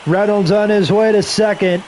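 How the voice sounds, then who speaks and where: Slightly hoarse man calling a game. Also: high voice, normal speed, a little hiss or hum. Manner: relaxed, rambling asides between calls. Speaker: streamer doing play-by-play. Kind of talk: casual play-by-play commentary. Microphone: headset.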